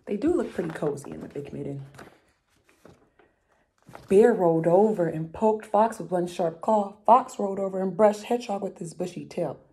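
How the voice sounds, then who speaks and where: A woman's voice: medium pitch, moderate pace, dark tone, husky. A young adult woman reading a picture book aloud to children, expressively, with chatty explanatory asides. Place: room at home, indoors.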